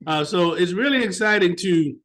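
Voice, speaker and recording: Lively higher-voiced American man storytelling. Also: normal speed. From United States. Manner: animated storytelling, repeats words while finding them. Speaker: guest author. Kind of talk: interview conversation.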